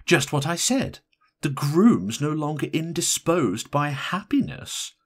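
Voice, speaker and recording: A man's voice: mid-range voice, sing-song, normal speed, slightly rough, pitched above the performer's own voice. A man voicing a brash, irritable married woman in an audiobook, blunt, emphatic and sarcastic. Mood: sarcastic, flat. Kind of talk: audiobook character voice.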